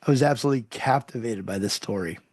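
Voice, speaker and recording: A man's voice: mid-range voice, fast, darkish tone, breathy edge, dry recording, studio quality. A man hosting an interview show, praising his guest effusively with frequent restarts. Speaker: show host.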